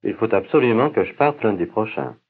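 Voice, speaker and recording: A man playing a polite patient with flu in a scripted language-course dialogue, speaking slowly and clearly, each line said twice. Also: fairly low pitch, animated. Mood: tired. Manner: slow, careful, clear diction. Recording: tinny, narrow-band old course recording.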